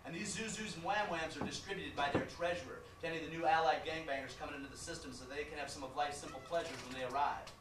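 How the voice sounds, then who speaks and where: A man telling his own story as a measured narrated stage monologue. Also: on the high side, roomy sound, recorded from a distance, background noise.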